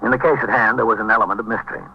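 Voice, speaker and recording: An actor playing a level-headed, authoritative police captain in a 1950s American radio drama, businesslike, dry and unemotional. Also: male voice, on the low side, fairly even intonation, rapid-fire, vocal fry, husky. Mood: sober. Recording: dull, hissy 1950s radio recording.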